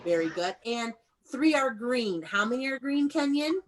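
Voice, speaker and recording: A woman's voice: on the high side, animated, normal speed, slight background noise. A woman teaching young children in an online read-aloud class, prompting them with questions and encouragement in an instructive way.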